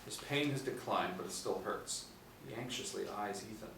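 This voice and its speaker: A man's voice: fairly low pitch, normal speed, gravelly. A man in his forties giving scripted narration, reading out screen directions. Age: 40s. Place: small indoor room, seated group. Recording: roomy, distant mic with faint noise.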